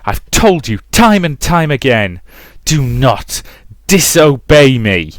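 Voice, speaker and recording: Man playing a melodramatic, domineering mother, pitched up. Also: big pitch swings, slow pace, no room sound, clean studio sound. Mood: furious, menacing. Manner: theatrical, emphatic, melodramatic. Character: abusive mother, volatile, domineering, cloying when remorseful. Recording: music behind the voice.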